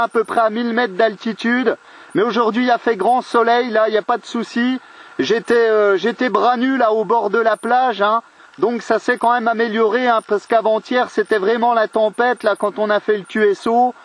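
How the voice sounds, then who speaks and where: A man's voice: extremely high pitch, fairly bright tone. A man chatting casually as an amateur radio operator, trading call signs and friendly remarks.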